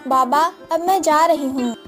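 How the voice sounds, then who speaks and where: कार्टून कहानी में गरीब लकड़हारे की विनम्र, ज़िम्मेदार जवान बेटी का किरदार निभाती एक महिला।. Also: female voice, high-pitched, unhurried, soft background music. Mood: earnest, caring. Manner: polite, earnest. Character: dutiful, polite young village daughter of poor woodcutter. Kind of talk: cartoon moral story acting.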